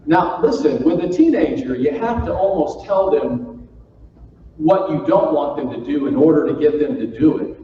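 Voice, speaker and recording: A man's voice: fairly high pitch, lively intonation, moderate pace. A man from Florida tells a folksy, joking story from a conference stage. He sounds distant, with a long echo from the large hall. The sound is somewhat muffled, with faint noise behind him. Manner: folksy, jokey, playful with the audience. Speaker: keynote speaker. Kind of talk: humorous keynote storytelling to a live audience.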